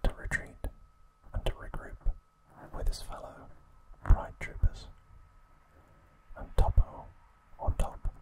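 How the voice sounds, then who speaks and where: A man speaks entirely in a soft whisper as an ASMR host. He takes his time, delivering short, gentle phrases very slowly and deliberately, with a breathy texture throughout. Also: low-quality recording. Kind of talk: whispered ASMR narration and trivia.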